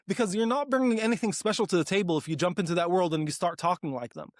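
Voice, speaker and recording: A man in his thirties from Texas, talking eagerly and earnestly as an interview guest, full of "like" fillers and quick restarts. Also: high-pitched, no echo, clean studio sound. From Dallas, Texas, US. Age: 30s. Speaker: guest, applied research leader. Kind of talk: conversational interview.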